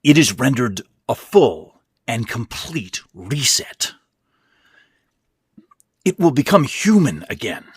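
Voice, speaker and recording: Man voicing a menacing, husky old man, forceful and growling. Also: medium pitch, very animated, slow pace, pauses often, no echo, studio quality, pitched above the performer's own voice, full character voice. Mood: grimly insistent. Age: older. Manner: hissing, growling, emphatic, commanding. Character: exhausted, sick old man in wheelchair, menacing, irritable tyrant. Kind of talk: dramatic horror fiction reading.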